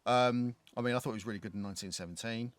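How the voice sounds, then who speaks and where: A man's voice: mid-range voice, rapid-fire, dry recording. A man with a thick London accent, rambling and swearing as he chats about films on a podcast. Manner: rambling, swears freely, many fillers and restarts. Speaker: podcast co-host. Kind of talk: casual film discussion. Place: living in Ireland.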